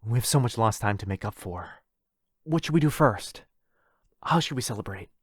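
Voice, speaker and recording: A man's voice: lowish voice, expressive, moderate pace, husky, no room sound, clean studio sound. A man playing a lovestruck, possessive, centuries-old vampire brother in a boyfriend roleplay, tender and pleading. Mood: overjoyed.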